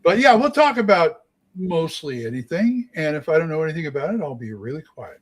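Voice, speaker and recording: A man in his late sixties in a casual chat, halting and repeating his words as he talks about running games. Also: a little high, very animated, a crowd in the background. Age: 60s. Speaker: game master, co-host.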